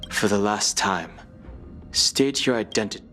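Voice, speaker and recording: Stern, bright-voiced protector. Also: male voice, on the low side. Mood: stern, wary. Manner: formal, measured, firm. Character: stern, composed, principled protector. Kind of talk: video game cutscene acting. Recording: background music.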